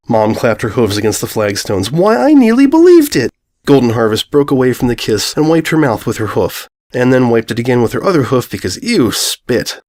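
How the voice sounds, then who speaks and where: A man reading a scripted story aloud as an expressive audiobook narrator. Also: medium pitch.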